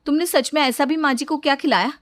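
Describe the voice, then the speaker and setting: A woman's voice: sits high, quick pace, no room sound, clean studio sound, voice pushed up, natural voice. A woman voicing a put-upon, envious elder daughter-in-law in a cartoon story, plaintive and pointed. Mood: envious, sarcastic. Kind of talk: Hindi cartoon story dialogue.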